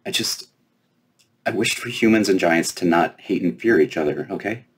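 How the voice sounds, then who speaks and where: A man reads as a young giant girl in a children's storybook. The voice is somewhat husky, and the girl comes across as earnest but guarded, hesitant and quick to defend herself. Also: sits low. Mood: embarrassed. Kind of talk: children's storybook read aloud.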